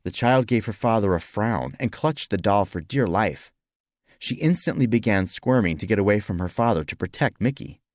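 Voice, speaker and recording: A man's voice: on the low side, animated, normal speed, no room sound, clean studio sound. A man reading scripted historical fiction as a dramatic audiobook narrator.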